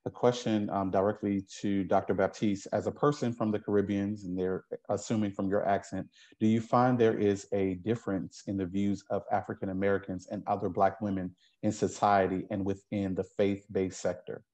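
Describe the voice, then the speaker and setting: Low-voiced moderator man, friendly. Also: little pitch movement, normal speed, few pauses, darkish tone. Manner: friendly, with many ums. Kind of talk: hosting a webinar Q and A. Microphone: video call.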